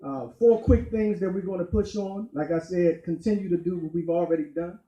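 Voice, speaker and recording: Guy running for county council, punchy slow speech, mellow tone, roomy echo. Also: high-pitched, highs cut off. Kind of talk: campaign speech at community meeting. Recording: echoey meeting room.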